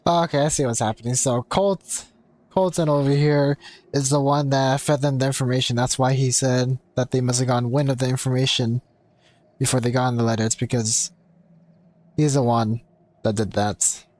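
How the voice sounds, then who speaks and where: A man streaming a video game, commenting in a quick, speculative way into a close mic. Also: mid-range voice, fairly even intonation, a little mellow, no room sound. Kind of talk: live gameplay commentary.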